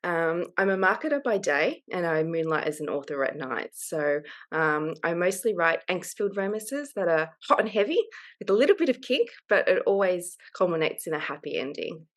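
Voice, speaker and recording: Woman, Australian accent, thirties, lowish voice, candid, open. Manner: candid, open, laughs easily. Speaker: guest author. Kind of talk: casual interview conversation.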